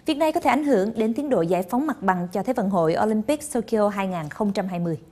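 A woman's voice: medium pitch, animated, fast, warm tone. A young woman presenting the news in a TV studio, addressing viewers in a polished, formal manner. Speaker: news anchor.

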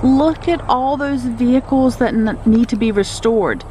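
A woman's voice: on the high side, measured pace, mellow, a little hiss or hum. A woman filming a road trip vlog from a car, gushing and exclaiming at what she sees along the way. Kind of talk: casual travel vlog narration. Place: inside a moving car.

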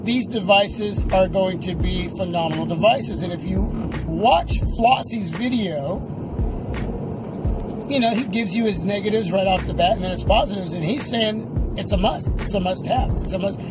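This is a middle-aged man with a notably high voice, talking casually to his viewers as he drives. He thinks aloud in halting, unscripted stretches. He is recorded inside a moving car, with music clearly audible behind him. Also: rough recording. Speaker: tech vlogger. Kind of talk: casual unscripted vlog chat. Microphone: camera or phone on the dashboard.

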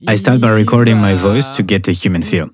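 A man's voice: lowish voice, brisk, a crowd in the background. A young adult man giving scripted tutorial narration as a sound designer, recorded in a small home studio.

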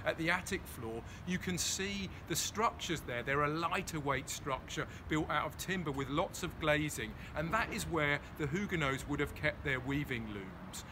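Guy giving a street tour, slightly high voice, loud traffic behind him. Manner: enthusiastic, explanatory, pointing things out. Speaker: walking tour guide. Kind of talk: casual on-location tour narration. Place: outdoors on busy city streets. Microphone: handheld phone camera close to face. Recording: outdoor street traffic noise. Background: street and traffic noise.

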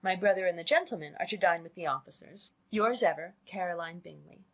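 A woman voicing a polite, gentle young woman in a storybook. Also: on the low side, fairly quick. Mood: polite, light. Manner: polite, reading letters aloud.

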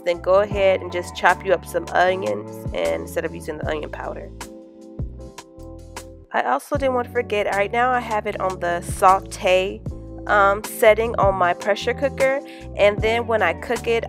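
A woman in her thirties hosting a casual home cooking vlog, chatty and upbeat, talking straight to the camera. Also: medium pitch. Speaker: cooking vlogger. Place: home kitchen and small room indoors. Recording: music playing underneath.

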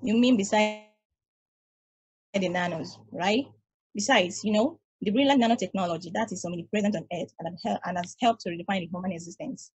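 A woman reading the part of a patient, knowing space probe in a play, measured and explanatory, over a video call. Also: mid-range voice, lightly acted, poor audio. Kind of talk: table read of a stage play. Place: home room, over a group video call.

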